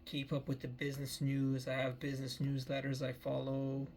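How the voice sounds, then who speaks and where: A man's voice: medium pitch, completely flat delivery, moderate pace, darkish tone, slight background noise. A man in his early thirties giving a meandering personal life update, often repeating himself and restarting phrases. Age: 30s. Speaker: vlogger.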